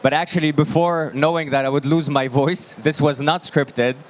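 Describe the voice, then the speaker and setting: A man in his thirties speaks from a conference stage into a handheld microphone, his voice strained and fading from shouting. He sits a little high for a man. His delivery is upbeat, with easy jokes. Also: fairly even intonation, normal speed, slight background noise. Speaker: product presenter. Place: large indoor expo hall stage.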